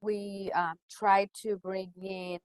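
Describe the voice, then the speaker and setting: A woman's voice: medium pitch, flat delivery, slow pace. A woman who is a native Spanish speaker, presenting in a webinar as a school educator and stressing her key words.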